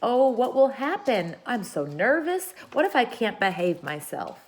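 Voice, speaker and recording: Woman voicing a bold, excitable young girl. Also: on the high side, measured pace, slightly rough, pitched above the performer's own voice, heavily acted. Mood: excited, nervous. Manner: exclamatory, emphatic, boastful.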